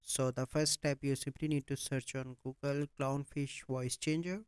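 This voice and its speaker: A man hosting a step-by-step software tutorial, speaking in a plain, instructional manner with repetitive phrasing. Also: medium pitch, flat delivery, normal speed, clean tone, no echo, clean studio sound. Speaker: tutorial host.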